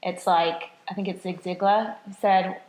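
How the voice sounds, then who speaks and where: A chatty, self-deprecating woman co-hosting a podcast, her voice sitting at an ordinary middle pitch. She talks freely and often laughs at herself. The room around her rings with a noticeable echo. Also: fairly even intonation, a little slow, a little mellow. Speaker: podcast co-host and nutrition coach. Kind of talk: casual podcast chat. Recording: roomy echo.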